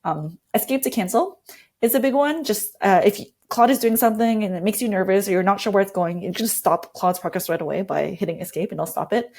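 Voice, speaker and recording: A woman's voice: mid-range voice, brisk, darkish tone. A woman speaking as an interview guest, explaining and walking through tips in a conversational way. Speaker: interview guest, design lead.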